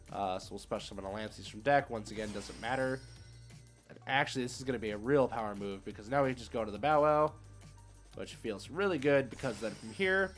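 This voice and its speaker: A man's voice: on the low side, fairly bright tone. A man giving casual live commentary as a game streamer, thinking aloud in informal gamer slang. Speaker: streamer hosting card game matches.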